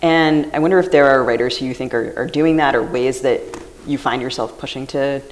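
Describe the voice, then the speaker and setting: A woman's voice: a bass voice, a little fast. A woman podcast co-host asking reflective, inquisitive questions in a live conversation on a festival stage.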